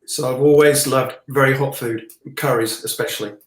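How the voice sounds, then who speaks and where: A man's voice: medium pitch, fairly even intonation, normal speed. A middle-aged man chatting casually as a guest, rambling and jokey, talking about his work as an illustrator. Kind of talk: casual chat. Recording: roomy, echoey sound.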